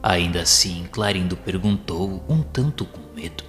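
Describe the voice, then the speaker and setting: Deep Brazilian male narrator in long reverb. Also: unhurried. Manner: formal, literary delivery. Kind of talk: scripted audiobook narration. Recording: long reverb, music behind the voice.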